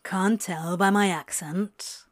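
A woman's voice: medium pitch, normal speed, natural voice. A woman voicing a blunt, sardonic northern man with a flirty edge. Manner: blunt, flirty, rough-edged.

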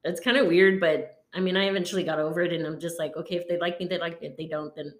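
A woman in her thirties, a guest in a casual chat, talking in a relaxed, rambling way full of fillers. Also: fairly low pitch, rapid-fire. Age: 30s. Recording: roomy echo.

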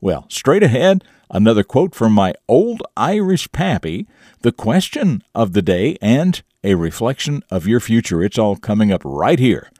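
A male morning show host with a lowish, swooping voice. He phrases things emphatically and deliberately, in a folksy scripted style. The sound is close and dry, with faint music behind. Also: big pitch swings, mellow, no room sound. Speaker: radio show and podcast host. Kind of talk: scripted morning show presenting, addressed to young listeners.

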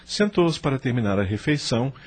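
A man's voice: medium pitch, big pitch swings, fairly quick. A man with a Brazilian accent giving scripted narration for a radio drama.

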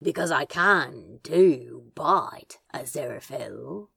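Woman acting as bashful grumbling man, audio fiction, husky, low-pitched, halting drawl, swooping intonation. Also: lively intonation, fairly bright tone, full character voice. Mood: growling flirtation. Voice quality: husky, growly. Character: male Crowley, bashful grumbling flirt with gruff edge.

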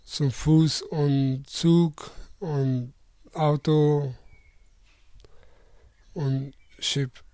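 Man in his twenties from Afghanistan, interviewee; slow, halting, many restarts; slightly high voice. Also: fairly even intonation, mellow, dry recording. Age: 20s.

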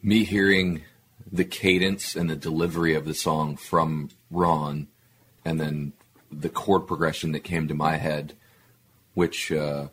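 A man with a baritone voice talking casually in an interview, halting with fillers and restarts. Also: little pitch movement, a bit bright, slight background noise. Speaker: guest musician.

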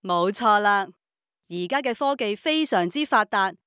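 A woman's voice: medium pitch, animated, measured pace, a bit bright, clean tone, no echo. Lady teacher in cantonese radio play, hong kong accent, lecturing a class all proper, dry studio sound. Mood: bright instruction. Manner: didactic, addressing a class. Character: female schoolteacher, orderly, upbeat, dutifully praising government. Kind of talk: radio drama acting.

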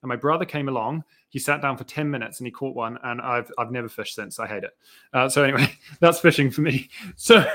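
A man with a New Zealand accent and a fairly high voice speaks as a podcast guest. His talk is earnest and enthusiastic. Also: fairly bright tone. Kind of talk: casual podcast chat.

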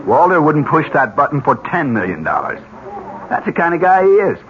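An American actor playing an earnest, resigned office worker in a 1970s radio drama, talking in an emphatic, slangy way. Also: male voice, a little high, wildly swooping pitch, a little fast, a bit bright. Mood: doubtful, insistent. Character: resigned male office colleague, plain-spoken, earnest. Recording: dull lo-fi 1970s radio recording.

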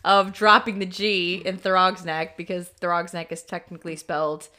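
Bright-voiced New woman chatting. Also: lowish voice, little pitch movement, normal speed. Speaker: podcast co-host. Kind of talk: casual conversational history chat.

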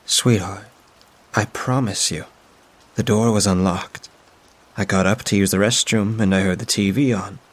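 A man doing a gentle, worried older woman. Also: lowish voice, fairly even intonation, unhurried. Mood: soothing. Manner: gentle, soothing, concerned. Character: caring middle-aged woman, a mother's friend.

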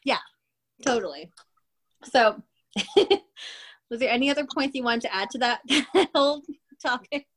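A woman with a somewhat high voice moderates a relaxed panel. She affirms what the guests say, laughs lightly and moves the talk along. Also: a little slow, pauses often. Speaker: host, moderator. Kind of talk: casual panel chat.

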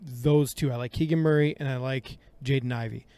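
A man co-hosting a casual sports podcast, talkative and analytical, quick to laugh. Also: mid-range voice, fairly even intonation, dark tone, smooth, dry recording. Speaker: podcast co-host.

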